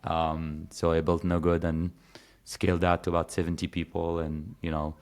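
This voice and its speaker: Very deep-voiced man from Egypt. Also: completely flat delivery, moderate pace, a little mellow. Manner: rambling, many fillers and restarts. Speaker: guest. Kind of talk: casual conversation.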